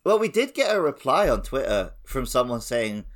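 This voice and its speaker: A man's voice: medium pitch, very animated, normal speed. A man chatting casually about a video game as a podcast host.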